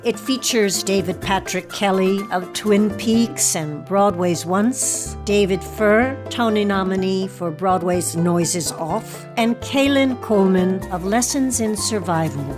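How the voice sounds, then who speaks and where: A woman's voice: medium pitch, slow pace, hardly any pauses. A woman hosting a radio theatre programme, reading a scripted introduction. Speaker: host. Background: music.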